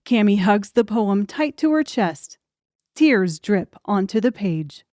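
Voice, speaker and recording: A woman's voice: mid-range voice, sing-song, takes it slowly, a little mellow, no room sound, clean studio sound. A woman giving scripted audiobook narration as the storyteller of a drama.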